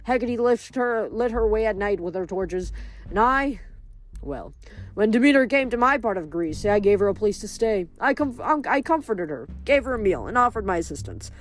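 A woman voicing a cheerful, boastful male farming god who chats in a bouncy, lively way. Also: mid-range voice, moderate pace, faint music behind, lightly acted. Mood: chatty.

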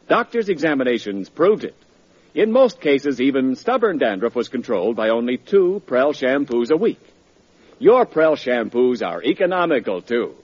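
A man's voice: mid-range voice, darkish tone. A man announcing on 1940s radio, with polished, emphatic sales delivery. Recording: muffled old radio broadcast.

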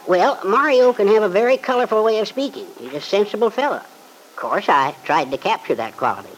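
An actor playing a fussy small-town know-it-all columnist in a classic radio drama, prissy, chatty and self-satisfied. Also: male voice, high-pitched, expressive, fairly quick. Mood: flattered. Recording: noisy old radio recording.

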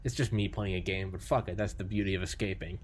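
A man hosting a podcast in casual chat, rambling excitedly with lots of swearing and filler words. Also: deep voice, quick pace, husky. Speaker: podcast host.

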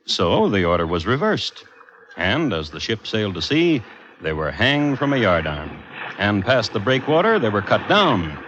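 A man playing a dry, scholarly crime historian, narrating deadpan and precisely in a 1950s radio show. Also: on the low side, normal speed, background noise. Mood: dry, wry. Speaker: storyteller host of a radio crime series. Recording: dull old 1950s radio recording.

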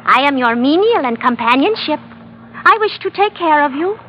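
Devoted young villager with learned, stilted English; high voice, earnest, vintage radio drama, muffled with some hiss. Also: female voice, animated, moderate pace. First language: not English. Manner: stilted, formal, flowery phrasing. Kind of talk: old-time radio adventure acting.

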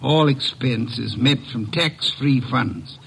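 An actor in an old-time radio drama playing a portly, middle-aged private detective, courtly, pompous and dryly sardonic. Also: male voice, mid-range voice, slow, creaky, a bit bright, slight background noise, rough recording. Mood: accusing.

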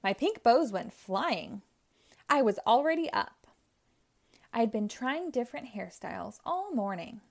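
A woman reading a children's picture book aloud, narrating in a gentle, expressive storytelling manner. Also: on the high side, unhurried, creaky. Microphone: audio only, close mic.

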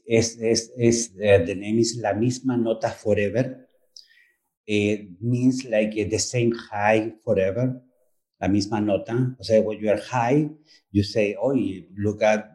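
A man from Chile with a Chilean Spanish accent, a native Spanish speaker, speaking as an author in a podcast interview, halting and searching for words. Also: mid-range voice, a little mellow, rough recording. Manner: halting, searching for words, frequent "you know what I mean". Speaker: author and performer, guest.

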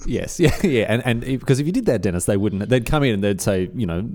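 Lively, teasing podcast co-host man. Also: mid-range voice. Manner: teasing, quick questions, lively interjections. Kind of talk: casual podcast banter.

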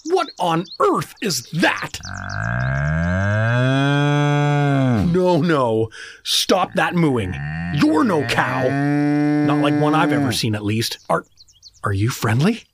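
An actor playing a friendly, hapless middle-aged American dad from South Dakota, chummy and rambling, in a comedic fantasy audio drama. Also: male voice, on the high side, huge pitch swings, slow, background noise, full character voice. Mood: panicky.

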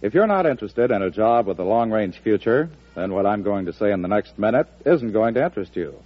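A man's voice: mid-range voice, husky, no echo. A man reading a scripted announcement in the style of a mid-century radio announcer. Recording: dull, dry vintage radio recording.

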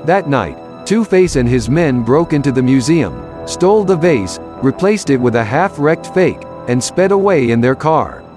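A man's voice: medium pitch, very animated, measured pace, few pauses. A man narrating a scripted action story for an audio drama. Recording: music bed behind narration.

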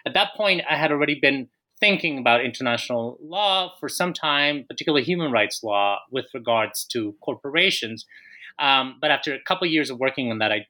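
A man in his thirties from Colombia, a guest author answering interview questions with frequent pauses and restarts. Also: mid-range voice, animated, sharp tone. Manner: hesitant, many fillers and restarts.

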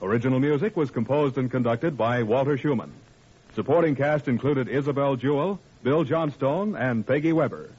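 A man's voice: mid-range voice, moderate pace, darkish tone, breathy edge. A man working as an announcer in formal, declamatory 1940s radio style. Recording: muffled old radio recording with music.

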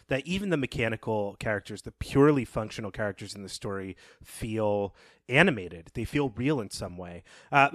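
A man co-hosting a podcast, giving casual literary commentary in reflective, halting phrases. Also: lowish voice, a bit bright, no room sound, studio quality. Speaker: podcast co-host.

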